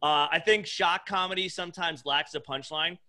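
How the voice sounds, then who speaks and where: A man's voice: on the high side, moderate pace, sharp tone. A man in his thirties, a stand-up comedian chatting casually on a podcast with profane, informal speech full of "dude" and "like". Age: 30s.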